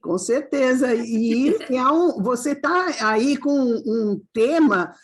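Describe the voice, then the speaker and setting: A woman's voice: a little high, animated, slow, husky. A woman hosting an online panel talk, answering questions and affirming her guests with many fillers. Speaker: host.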